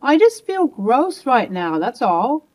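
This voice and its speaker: A woman's voice: a little high, sing-song, takes it slowly, a little mellow, voice pushed up, heavily acted. A woman voicing a cheating adult husband who stammers and pleads evasively.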